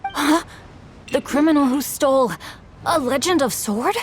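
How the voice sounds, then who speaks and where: A woman's voice: high voice, a little slow, slight background noise. A woman playing a polite, bookish teenage boy novelist in a video game, speaking in formal, eloquent phrases. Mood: alarmed, urgent. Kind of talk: video game character voice acting.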